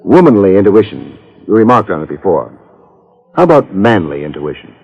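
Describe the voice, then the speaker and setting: An American actor playing a wry, tough private detective in clipped, sardonic 1950s radio style. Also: male voice, deep voice, sing-song, moderate pace, vocal fry, husky, heavily acted. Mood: dry, probing. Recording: muffled old radio recording.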